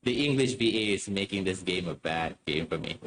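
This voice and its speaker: Deep-voiced, animated male game commentator. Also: fairly quick, a little hiss or hum. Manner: opinionated, repeats words for emphasis. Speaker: gaming channel host. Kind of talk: casual game playthrough commentary. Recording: game music behind the commentary.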